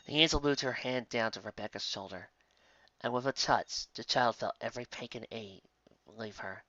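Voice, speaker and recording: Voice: medium pitch, normal speed, bright, forceful tone, dry recording, lo-fi sound. A narrator reading fan fiction aloud in a dramatic, emotive way. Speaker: story narrator.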